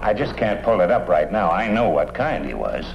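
An actor playing a talkative, plain-spoken police detective sidekick in an old-time radio drama. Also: male voice, sits low, big pitch swings, normal speed, vocal fry, full character voice. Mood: rueful, nostalgic. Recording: dull, hissy old radio recording.

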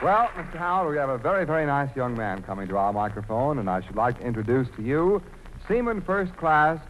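A man's voice: medium pitch, sing-song, brisk. A man announcing formally on a 1940s radio show. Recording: noisy, echoing old radio broadcast.

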